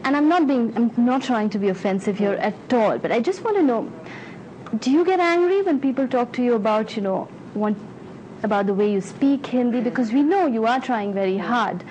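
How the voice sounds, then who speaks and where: A woman's voice: on the high side, lively intonation, fairly quick, mellow, lo-fi sound, a little hiss or hum. A woman interviewer asking probing questions politely, with some hesitation.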